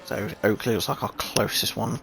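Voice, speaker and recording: A man calls a sim race in a low, gravelly voice gone croaky after a sneeze. He thinks aloud, restarting his sentences as the action unfolds. Engine noise and hiss sit under his mic. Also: fairly even intonation, normal speed. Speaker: race commentator. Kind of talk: sim racing commentary.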